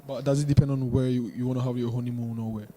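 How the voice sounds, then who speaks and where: Fast-talking male street interviewer. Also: mid-range voice, level tone, mellow, a little hiss or hum. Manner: chatty, fillers and restarts, laughs easily.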